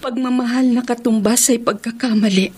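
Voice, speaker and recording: A woman's voice: a little high, steady tone, a little fast, a little mellow, breathy edge. A woman with a Filipino accent acting a devoted, heartbroken mistress in a radio drama, plaintive and melodramatic. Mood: sorrowful resolve. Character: devoted, self-sacrificing young mistress, heartbroken. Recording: radio drama with music underneath.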